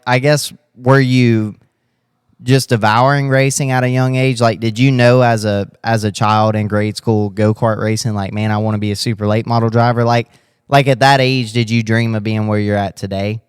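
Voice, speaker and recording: A man's voice: medium pitch, flat delivery, moderate pace, clean tone, dry recording, studio quality. A man hosting a casual racing podcast interview, rambling and restarting his questions as he goes. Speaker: podcast host.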